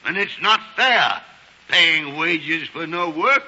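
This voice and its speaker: A man's voice: a little high, expressive, slow pace, pushed and bright, exaggerated voice. An older man acting a bitter, scornful old miser who grumbles and snaps in a 1940s radio drama. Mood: cold, grumbling. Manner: grumbling, blustering, snapping. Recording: muffled, hissy 1940s radio broadcast.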